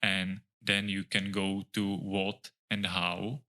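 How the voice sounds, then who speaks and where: Guy, middle aged, lowish flat voice, lots of uh and restarts while he thinks. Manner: thoughtful, halting, with many "uh" pauses and restarts. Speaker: architect guest. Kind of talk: casual interview chat.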